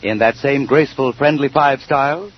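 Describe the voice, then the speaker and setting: A man's voice: medium pitch, normal speed, faint music behind. An American man announcing a sponsored 1930s radio program from a script, in a formal, emphatic salesman's delivery on an old radio recording. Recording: muffled, noisy early 1930s radio recording.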